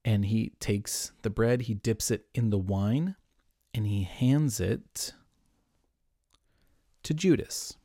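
A man's voice: fairly low pitch, slow, long pauses, dark tone, no room sound, clean studio sound. A young adult man giving a casual Bible lesson, chatty and full of asides. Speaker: Bible teacher.

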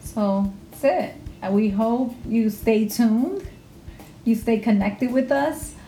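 A woman co-hosting a podcast, chatting casually with quick playful interjections. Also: fairly high pitch, mellow, roomy sound, soft background music, poor audio. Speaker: podcast co-host. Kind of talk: casual chat.

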